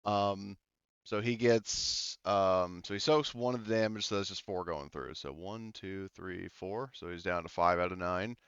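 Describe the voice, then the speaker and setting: A man speaking casually and haltingly as the game master of a tabletop roleplaying game, thinking aloud. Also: deep voice, fairly bright tone, smooth, no room sound, muffled recording.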